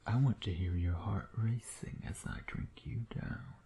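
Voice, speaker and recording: A man playing a smug, teasing vampire predator in an intimate ASMR roleplay, sardonic and flirtatious, often slipping into breathy murmurs. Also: fairly low pitch, a little slow, dark tone, a little hiss or hum. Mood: menacing, seductive.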